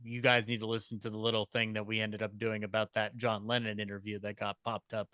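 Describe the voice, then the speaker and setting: A man's voice: lowish voice, little pitch movement, fast talker, sharp tone, clean tone, no echo. A man talking casually and at length as a podcast panelist, with a wordy, enthusiastic fan's manner.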